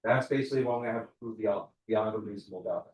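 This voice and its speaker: A man working as a prosecutor, questioning a jury panel in a quick, conversational way. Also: fairly low pitch, darkish tone, a little hiss or hum. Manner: fast, conversational, explains with examples. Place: large courtroom. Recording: echoey courtroom from far off.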